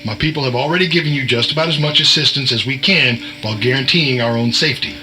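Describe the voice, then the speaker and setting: Audio drama: folksy, wisecracking insider-cook played by a man, bright forward voice, chiding and cryptic. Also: medium pitch, fast talker, soft background music, poor audio. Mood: firm, cautionary. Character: cagey, wisecracking male veteran insider and short-order cook.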